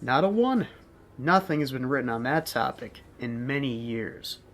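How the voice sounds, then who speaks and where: An actor playing a prim, law-abiding, wary bookstore clerk in an audio drama, formal and officious. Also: male voice, medium pitch, animated, normal speed, fairly bright tone, close to an everyday voice. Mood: dismissive.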